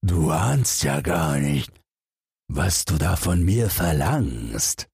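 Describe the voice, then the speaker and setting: Man voicing a slow, husky, cowardly giant. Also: very deep voice, big pitch swings, a little mellow, no echo, studio quality, exaggerated voice. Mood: reluctant, grave. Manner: slow, ponderous, plaintive. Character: big cowardly, gullible three-headed male giant. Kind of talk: storybook character voice.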